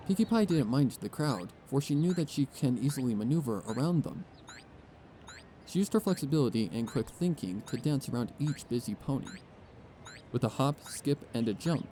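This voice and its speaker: A man narrating a scripted fan fiction story as an expressive storyteller. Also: mid-range voice, a little hiss or hum.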